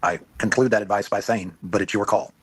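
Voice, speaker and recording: Husky, fast-talking man on a panel. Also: on the low side, fairly even intonation. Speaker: online panelist. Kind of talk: casual panel discussion.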